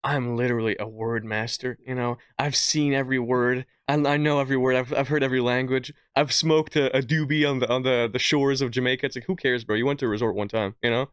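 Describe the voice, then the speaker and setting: A young man talks very quickly in a dry, close recording. He sounds casual and slangy, debating and joking as a podcast co-host, with a middling pitch. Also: steady tone, clean tone, no echo. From born in Hungary, lives in Quebec. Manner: slangy, argumentative.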